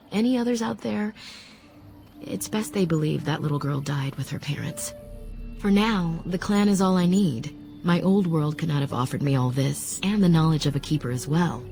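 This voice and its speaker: A woman playing a wise, composed elf apprentice in a fantasy video game, speaking in a calm, reflective, formal way. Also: low-pitched, vocal fry, a little hiss or hum. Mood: wistful, resolved. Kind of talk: fantasy video game acting, measured storytelling.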